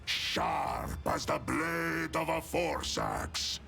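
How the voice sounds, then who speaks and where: An actor voicing a huge, feral and proud space warrior in a video game, with a deep guttural rasp and slow, poetic, archaic declamation. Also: male voice, huge pitch swings, bright, forceful tone, soft background music, heavily acted. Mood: fierce, challenging.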